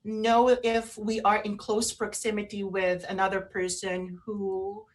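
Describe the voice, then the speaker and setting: A woman's voice: medium pitch, completely flat delivery, a little slow, rough recording. Chatty woman co-hosting. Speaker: online radio show co-host. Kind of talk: casual talk, explaining and giving shout-outs.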